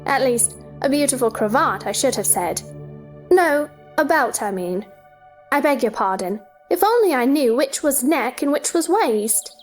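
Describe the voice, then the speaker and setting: A woman's voice: high voice, expressive, unhurried, soft background music. A woman playing a polite, curious, bewildered seven-year-old girl in a theatrical audio drama, earnest and wondering. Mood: polite, puzzled.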